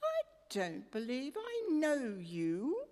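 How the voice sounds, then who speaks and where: Woman acting a shrill, haughty society wife. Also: huge pitch swings, takes it slowly, dark tone, exaggerated voice. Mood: indignant, scornful. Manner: imperious, scandalized. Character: haughty, indignant wealthy publisher's wife. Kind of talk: radio play acting. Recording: echoey hall stage recording.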